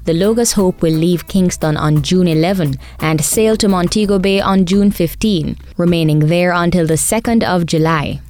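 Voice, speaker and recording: A woman's voice: lowish voice, darkish tone, faint music behind. Woman reading a radio news feature. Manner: clear, measured, formal delivery.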